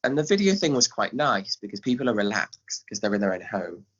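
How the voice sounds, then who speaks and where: A man's voice: on the low side, very animated, fairly quick, fairly bright tone, lo-fi sound. A man with an English accent chatting casually as an interview guest, explaining his work as a theatre director. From England. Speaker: guest, pantomime director and scriptwriter.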